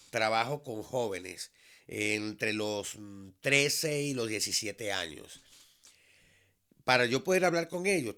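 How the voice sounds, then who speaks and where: A Venezuelan man in his fifties, a teacher, speaking in a measured, earnest monologue that has frequent restarts. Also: mid-range voice, pushed and bright. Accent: Venezuelan Spanish. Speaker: vlog host, high school teacher. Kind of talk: reflective solo monologue.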